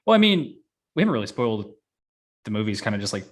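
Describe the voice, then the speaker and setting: A man's voice: fairly low pitch, sing-song, fast. A man co-hosting a casual chat, joking and poking fun at himself as he goes. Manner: self-deprecating, joking. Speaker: podcast co-host. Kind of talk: casual banter.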